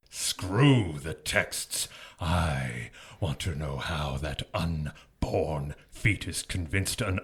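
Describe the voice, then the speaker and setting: A slow, raspy demon lord tempts in a bottomless deep voice. He is mocking and power-hungry, coaxing theatrically in audio drama fashion. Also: fairly bright tone, full character voice. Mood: calculating. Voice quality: husky, raspy.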